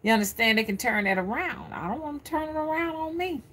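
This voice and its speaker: A woman's voice: high voice, lively intonation, quick pace, a bit bright, a little hiss or hum. A woman in her fifties talking casually on a live stream, rambling and often repeating her words as she goes. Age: 50s. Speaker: spiritual reader streaming live.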